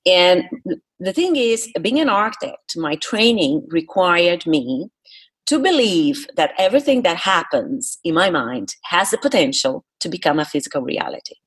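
A woman's voice: on the low side, very animated, normal speed, breathy edge. A middle-aged woman with a Brazilian accent and Portuguese as her first language, telling her story conversationally in an interview, explaining with emphasis. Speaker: podcast guest, speaker and coach.

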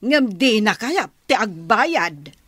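A slow-talking village woman, disapproving and gossipy, with swooping intonation. The radio drama recording is close and dry. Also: mid-range voice, big pitch swings, fairly bright tone, husky, no echo, full character voice. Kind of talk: Ilocano radio comedy drama acting.

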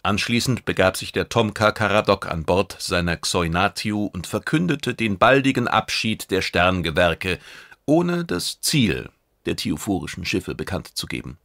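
Low-voiced male audiobook narrator, close and dry. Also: expressive, normal speed, a bit bright, dry recording. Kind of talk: dramatic scripted narration of a science fiction novel.

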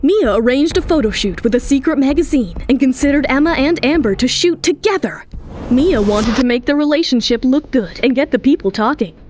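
A woman's voice: high voice, lively intonation, a little fast, darkish tone, slight background noise. A woman delivering dramatic scripted narration in an emphatic storytelling manner. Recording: music under the voice. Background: dramatic background music.

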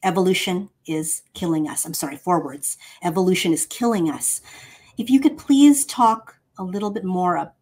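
A woman's voice: lowish voice, normal speed, a little mellow, breathy edge. A middle-aged woman hosting a poetry interview on a video call, praising the poem and asking questions with many fillers. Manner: effusive praise, hesitant with fillers. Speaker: host. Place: home room.

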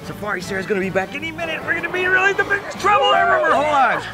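A middle-aged man playing an excitable, flustered park ranger in a children's adventure skit, often repeating his words. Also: extremely high pitch, rapid-fire. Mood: worried. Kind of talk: children's adventure skit, improvised-sounding chatter. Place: large indoor exhibition hall. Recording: loud music bed behind the voice.

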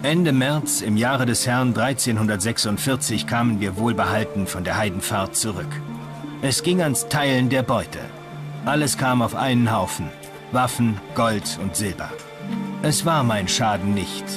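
An actor playing a sober, reflective medieval crusader knight who recounts his campaigns gravely in a radio documentary. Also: mid-range voice, a little slow, lightly acted. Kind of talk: dramatized first-person memoir in a radio documentary. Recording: loud music under the voice.

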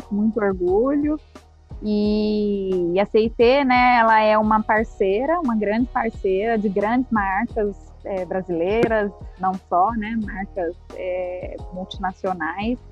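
A Brazilian woman in her forties talks chattily as a podcast guest, often tagging her sentences with quick questions. Her voice sits at a middle pitch. The audio is a bit dull and lo-fi. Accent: Brazilian Portuguese. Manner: chatty, with frequent tag questions. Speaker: company HR head guest. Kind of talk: casual interview talk. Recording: slightly dull lo-fi sound.

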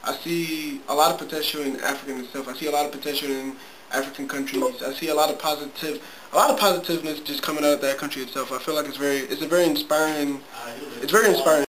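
A man's voice: a little high, fairly even intonation, quick pace, a crowd in the background, rough recording. A young man from Ghana, a student, talking earnestly and reflectively to a close webcam in a small bedroom. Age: young adult. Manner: earnest, reflective, repeats himself. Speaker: student interviewee. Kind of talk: casual talk to camera.

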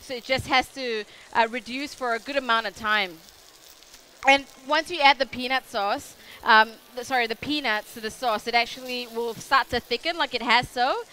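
A young Australian woman talks a live crowd through a recipe on a show-stage kitchen, chatty and rambling, full of fillers. Her voice is bright and fairly high, over a faint room murmur. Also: normal speed, a little hiss or hum. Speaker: cooking demonstrator. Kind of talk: live cooking demo.

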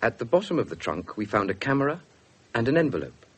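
An actor playing an earnest young police detective with a British accent, narrating and speaking in a 1970s radio drama. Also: male voice, mid-range voice, sing-song, fast, slightly rough. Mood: measured narrating. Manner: formal narrating, indignant in dialogue.

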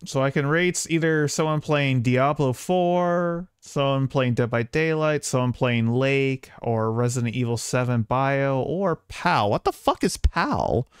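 A man's voice: medium pitch, unhurried, few pauses, clear, resonant tone, no echo, clean studio sound. A man chatting casually to viewers as a game streamer, rambling along and swearing now and then. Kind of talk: casual livestream chat to viewers.

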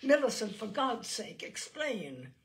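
A woman performing a shocked, demanding sister in a sharp, agitated manner for an audio short story. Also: fairly high pitch, sing-song, takes it slowly, full character voice. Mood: urgent demanding.

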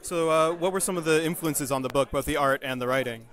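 A man's voice: a little high, fast, fairly bright tone. A man interviewing comic creators at a convention, asking short, friendly questions. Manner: brief, friendly prompting questions. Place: convention floor.

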